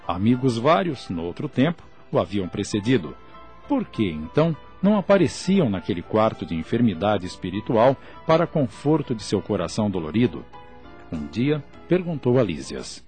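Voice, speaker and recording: Expressive low-voiced male radio drama narrator. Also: moderate pace, faint music behind. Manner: formal, literary diction.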